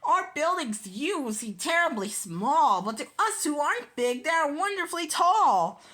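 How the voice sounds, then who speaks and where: A woman's voice: high voice, very animated, moderate pace, a bit bright. A woman voicing a tiny male mayor of a town on a speck, polite and thankful in a piping voice. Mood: grateful.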